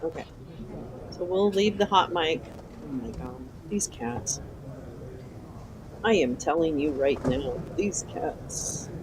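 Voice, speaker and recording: A man's voice: on the high side, expressive, slow pace, pauses often, background noise. A man hosting a live stream, mocking the speakers in a broadcast hearing with sarcastic commentary. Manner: mocking, heckling. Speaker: livestream host reacting to a hearing.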